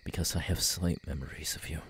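A man acting an audio roleplay as a tormented, menacing young killer, bitter and halting, with a husky voice. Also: extremely low pitch, fairly even intonation, a little hiss or hum. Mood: menacing, unstable. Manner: halting, brooding, bursting into anguished shouts.

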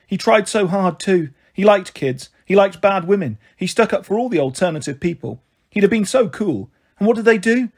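A woman reader puts on a tearful British mum in her thirties for a storybook. She is self-pitying and flighty, pleading in a raised, husky voice. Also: medium pitch, animated, moderate pace, a little mellow, pitched above the performer's own voice. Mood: gloomy, tearful. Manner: gloomy, emotional, pleading.

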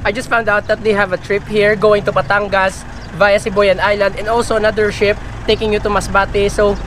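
A man's voice: high-pitched, flat delivery, fairly quick, fairly bright tone, a little hiss or hum. A young man with a Filipino accent, chatting casually to viewers in a travel vlog, filming himself on an action camera outdoors. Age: young adult. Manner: chatty, switching between English and local talk, addressing viewers. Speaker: travel vlogger. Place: outdoors on a sunny hilltop and coast. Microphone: action camera held at arm's length.

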